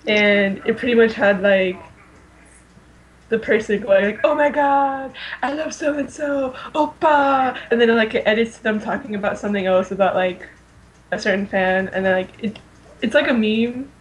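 A woman's voice: on the high side, normal speed, low-quality recording. A young woman chatting on a podcast in a slangy, informal and enthusiastic way, peppering her talk with filler words. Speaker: podcast panelist. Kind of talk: casual slangy fan chat.

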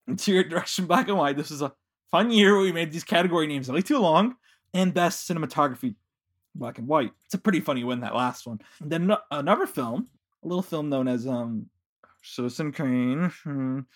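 A man's voice: fairly high pitch, animated, fairly bright tone. A man from Chicago with a Chicago accent, hosting a casual podcast chat in a playful, joking way. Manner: playful, jokey, quick asides. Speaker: podcast host.

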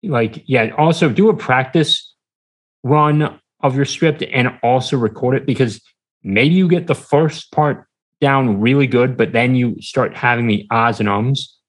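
A man's voice: medium pitch, measured pace, poor audio. A man chatting casually as a podcast guest, hesitant and rambling, often repeating himself, on a podcast microphone. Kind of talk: casual interview chat. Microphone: standard podcast microphone.

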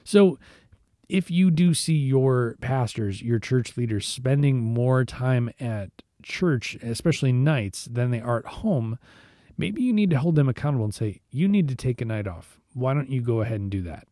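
A man with a hoarse, mellow, rather dark voice gives a relaxed devotional talk. He speaks conversationally, often restarting his words. The recording is close and dry, with no room sound. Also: medium pitch, normal speed, no room sound. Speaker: devotional podcast host, church musician.

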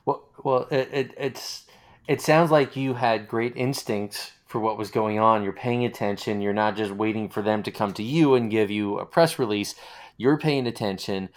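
A man's voice: fairly low pitch, normal speed. An American man hosting a conversational interview, talking in an encouraging, affirming and discursive way. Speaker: interview host.

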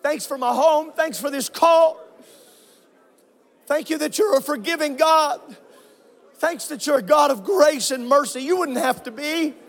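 A male pastor preaching and praying with fervour, repeating phrases, out of breath and swinging from shouts to low murmurs. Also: unhurried, pauses often. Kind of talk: Pentecostal preaching and prayer. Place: church sanctuary with congregation.

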